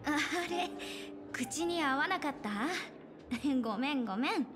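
An actress playing an earnest, polite young businesswoman in a game, crisp in her delivery. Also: sits high, animated, measured pace. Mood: playful, gentle. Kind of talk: Japanese game voice acting. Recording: music behind the voice.

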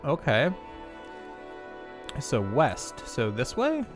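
Man streaming a game, animated pitch, slightly stuffy nose, casual chatter, faint game music underneath. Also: extremely slow. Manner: chatty, laughs often, reads game dialogue aloud. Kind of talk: casual gameplay commentary and chat.

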